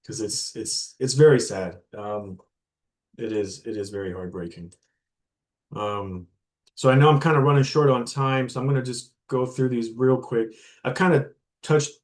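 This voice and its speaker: A man's voice: lowish voice, darkish tone. A man giving an informal guest lecture to university students. He talks conversationally, with frequent fillers and repeated words, and stops to explain terms plainly.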